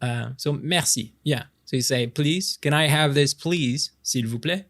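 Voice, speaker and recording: A man's voice: on the high side, fairly bright tone. A French Canadian man, a native French speaker, playing a friendly, playful young man who casually tutors his childhood friend in an intimate romance ASMR roleplay. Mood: playful, patient. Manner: halting, encouraging, often chuckling, drawn-out demonstrations. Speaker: voice actor in a romantic audio roleplay.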